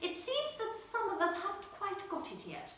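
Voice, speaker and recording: A woman's voice: very high voice, huge pitch swings, fairly bright tone, a little hiss or hum, pitched above the performer's own voice, full character voice. A woman voicing an elegant, exacting but encouraging female ballet teacher in a children's storybook, with theatrical, emphatic delivery. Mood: instructive. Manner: theatrical, emphatic, drawn-out praise and instruction. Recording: roomy echo, distant microphone.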